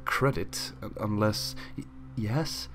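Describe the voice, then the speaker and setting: A man's voice: lowish voice, takes it slowly, fairly bright tone, soft background music. A man voicing a timid, nervous stallion shopkeeper who stammers.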